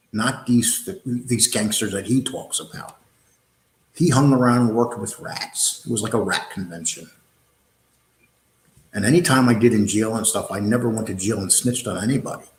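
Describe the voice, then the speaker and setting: A man's voice: mid-range voice, reverberant, rough recording. A man with a New York accent hosting a live online show, answering viewer comments in a blunt, combative way, with many fillers and restarts.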